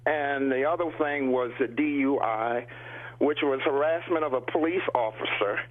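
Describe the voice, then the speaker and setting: An older man from the United States, a guest on a radio phone interview, telling his story in a halting way with many repeated words. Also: medium pitch. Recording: tinny phone line.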